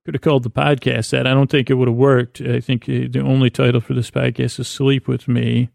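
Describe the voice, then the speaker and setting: A man hosting a sleep podcast with a meandering, halting, whimsical bedtime monologue in a gravelly, creaky voice. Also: medium pitch, little pitch movement, a little mellow, no room sound, studio quality. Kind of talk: rambling improvised bedtime monologue.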